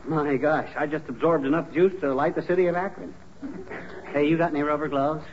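An actor playing a cocky, bumbling middle-aged husband with a folksy, blustering, slangy delivery in 1940s radio comedy. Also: male voice, on the high side, fairly even intonation, brisk, natural voice. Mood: rattled, wisecracking. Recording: noisy, dull 1940s radio recording.

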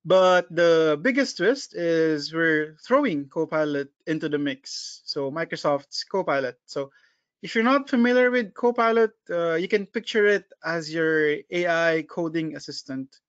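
A man's voice: a little high, lively intonation, unhurried, fairly bright tone, clear, resonant tone, no echo, dull audio. A man introducing a webinar as product marketing manager, upbeat with frequent fillers.

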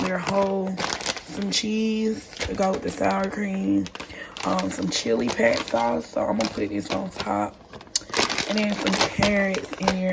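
Hoarse young woman chatting casually. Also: mid-range voice, slight background noise, rough recording. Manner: chatty, talks straight to viewers. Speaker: vlogger. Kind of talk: casual cooking vlog. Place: home kitchen, indoors. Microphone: phone camera held close.

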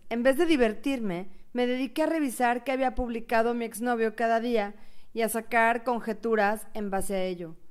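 A woman playing a heartbroken, anxious young woman who tells her own story in a radio novel, in a clear, smooth voice. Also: on the high side, natural voice. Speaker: narrator and actress in an audio novel. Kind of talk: dramatized first-person radio novel narration.

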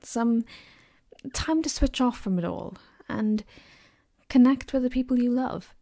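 A young woman playing a caring, gently teasing girlfriend in an intimate ASMR roleplay, with a soft, breathy, reassuring delivery close to the mic. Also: on the high side, a little slow. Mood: tender, reassuring. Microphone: close binaural microphone.

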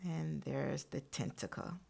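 A woman's voice: low-pitched, slow pace, gravelly, dark tone, breathy edge. A woman teacher reading a picture book aloud expressively to young children, adding encouraging asides addressed straight to them.

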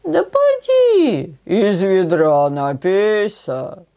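A woman voicing a kindly, calm old village granny in a folk tale, with soothing, singsong speech. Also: medium pitch, very slow, lo-fi sound, full character voice. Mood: unbothered.

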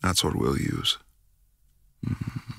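A man playing an affectionate, playful young boyfriend in intimate ASMR roleplay, murmuring breathily with lingering hums and pauses, close to the mic. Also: sharp tone, no room sound. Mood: yielding, aroused. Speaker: audio roleplay performer. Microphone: close, dry microphone.

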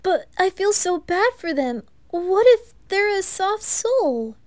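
A woman voicing a sweet, soft-hearted young boy adventurer, earnest and pleading, in a fan roleplay drama. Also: very high voice, sing-song, takes it slowly, voice pushed up, full character voice.

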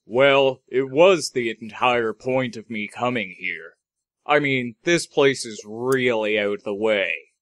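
A man's voice: mid-range voice, takes it slowly, a bit bright, no echo. Man as a bemused, very tall drinker, dry sound. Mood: slightly bemused. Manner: slightly bemused, casual. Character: very tall male alcohol taster, unfazed, matter-of-fact. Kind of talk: comic storytelling character voice.

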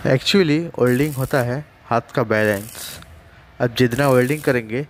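A man in a workshop, calmly explaining his welding work step by step in a casual how-to voice-over. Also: medium pitch, normal speed, a little hiss or hum. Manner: plain, steady, explains step by step. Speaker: welder demonstrating a technique.

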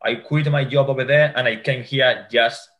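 Give me a Spanish-accented man in his forties being interviewed on a video call, thoughtful and halting. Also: mid-range voice, steady tone, lo-fi sound. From Spain. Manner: halting, with many restarts and fillers. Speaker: futsal coach. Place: home room.

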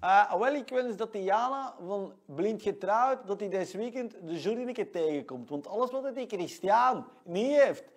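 A man's voice: high voice, lively intonation, measured pace, few pauses, exaggerated voice. An actor playing a cocky 22-year-old shop salesman with a Leuven Flemish accent, who boasts and talks about himself in the third person in a comedy monologue. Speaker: comic character giving weekly rundown.

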